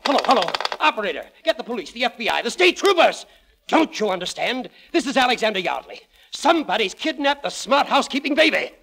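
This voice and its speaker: A man's voice: very high-pitched, big pitch swings, fast, gravelly, heavily acted. A man playing a pompous, blustering magazine publisher in 1940s radio comedy style, easily outraged and declamatory. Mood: frantic outrage.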